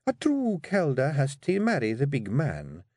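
Male reader as young Scottish fairy matriarch: high, sweetly pointed, firm, bossy, storybook fantasy. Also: huge pitch swings, measured pace, a little mellow, no echo, studio quality, full character voice. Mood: dangerously sweet. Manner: deceptively meek and sweet, pointed, controlled. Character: quietly dangerous young fairy queen, firm bossy wife. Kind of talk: audiobook character voice.

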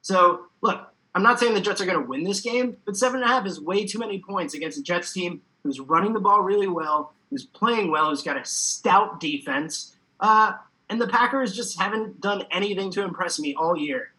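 Male podcast guest, sports betting talk. Very sharp, bright tone, hammy and casual. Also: sits high, moderate pace, rough recording. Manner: playful, hammy, talks in informal slang. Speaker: recurring podcast guest giving betting picks.

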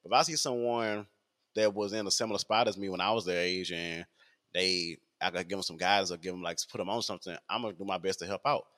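A man's voice: low voice, steady tone, quick pace, fairly bright tone. A man, talking in a rambling casual podcast monologue full of fillers and repeats. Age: 20s.

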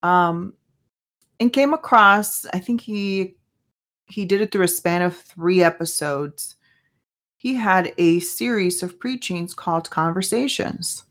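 A fairly low-voiced woman whose first language is Spanish talks through her own faith podcast. She sounds earnest and conversational, often stopping to ask herself questions as she goes. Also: takes it slowly, a bit bright. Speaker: podcast host. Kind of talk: casual faith podcast monologue.

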